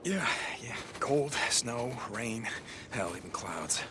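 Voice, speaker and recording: An actor playing a rugged, cynical American drifter in a video game, blunt and offhand, with a gruff, weary delivery. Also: male voice, mid-range voice, expressive, slow pace, background noise. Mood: dry, blunt. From Oregon, United States. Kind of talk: naturalistic video game acting.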